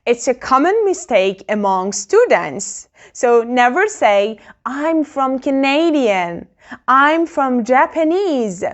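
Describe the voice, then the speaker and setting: A woman teaching a beginner English lesson, modelling phrases very slowly and clearly for learners to repeat. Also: high voice, very animated, faint music behind.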